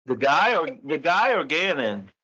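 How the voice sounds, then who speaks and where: A man with a fairly high, bright and forceful voice on a recorded phone call. He questions the other person haltingly, repeating and rephrasing as he coaxes out answers. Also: sing-song, slightly rough. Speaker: caller questioning the other speaker. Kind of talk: tense private phone conversation, questioning.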